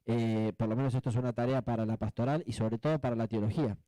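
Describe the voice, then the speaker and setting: A man with an Argentine accent speaking off the cuff as a panelist, reflective and halting, often adding tag questions. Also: mid-range voice, fairly even intonation, mellow, dry recording. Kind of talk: spontaneous panel discussion answer.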